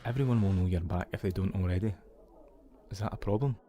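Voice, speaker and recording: An actor playing a friendly, teasing Scottish visitor centre manager in an audio drama, easygoing and chatty, with music behind him. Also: male voice, low-pitched, quick pace, mellow, slight background noise, natural voice. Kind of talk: naturalistic audio drama dialogue.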